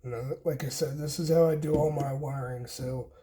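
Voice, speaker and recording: A man's voice: mid-range voice, fairly even intonation, moderate pace, a crowd in the background. A man hosting a casual hands-on DIY tutorial, explaining informally step by step in short pieces as he works. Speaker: host of a DIY homestead channel, demonstrating wiring.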